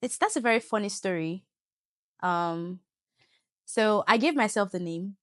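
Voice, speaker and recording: Young British-Nigerian woman, podcast guest, expressive and chatty, dry close studio sound. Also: medium pitch, a little slow, no echo. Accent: British with Nigerian touch. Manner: animated storyteller, laughs often, code-switches. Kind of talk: casual testimony interview.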